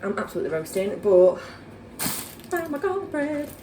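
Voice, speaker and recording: A woman's voice: sits high, very animated, moderate pace, mellow, recorded from a distance. A young woman with a Yorkshire accent, chatting casually to camera in her kitchen, rambling with lots of fillers. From Yorkshire, England. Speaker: vlogger. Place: home kitchen, indoors. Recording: echoey room with background noise.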